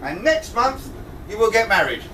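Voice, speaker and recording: A man's voice: sits high, sing-song, normal speed, sharp tone, exaggerated voice. A man playing a forgetful, pompous old king in an amateur pantomime, muddled, hesitant and blustering. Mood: bossy, pompous. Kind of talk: amateur pantomime stage acting. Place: amateur show in a pub, with audience. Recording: distant mic in noisy hall with audience.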